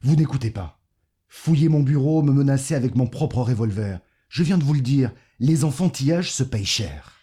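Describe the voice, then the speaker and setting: Man acting slimy condescending mayor, mid pitch, mellow tone, talks down to people, audio drama. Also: expressive, moderate pace, lightly acted. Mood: scornful. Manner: patronising, cajoling. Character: smug, condescending, corrupt male mayor, coolly menacing. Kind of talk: French audio fiction acting.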